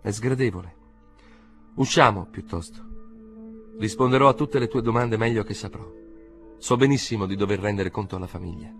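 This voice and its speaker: A man playing a weary, composed nephew in an audiobook, speaking in a restrained, measured, evasive way. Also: on the low side, faint music behind, voice pushed up, natural voice. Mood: controlled, placating.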